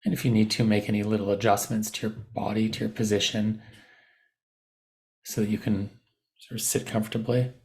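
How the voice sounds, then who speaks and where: A man leading a guided meditation, giving gentle, unscripted instructions with softened, informal phrasing. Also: lowish voice, little pitch movement, brisk, creaky, long pauses.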